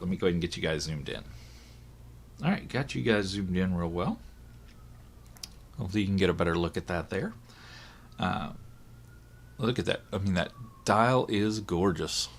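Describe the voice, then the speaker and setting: Hoarse, gravelly low-voiced man. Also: lively intonation, moderate pace, long pauses, slight background noise. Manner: chatty, thinks aloud with fillers and self-corrections. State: hoarse, voice recovering from illness. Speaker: watch reviewer. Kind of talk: casual unboxing commentary.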